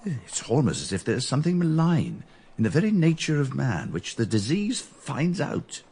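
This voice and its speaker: A husky, grave doctor. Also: male voice, mid-range voice, big pitch swings, a little mellow. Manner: deliberate, clinical. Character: grave, knowing male doctor. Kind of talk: radio drama acting.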